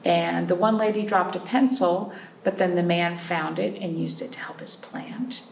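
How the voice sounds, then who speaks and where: A woman hosting a storytime for young children, reading expressively with drawn-out phrases and pausing to put questions to her listeners. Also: on the low side, fairly even intonation, creaky. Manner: prompting listeners with questions and pauses. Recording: roomy, distant mic, faint noise.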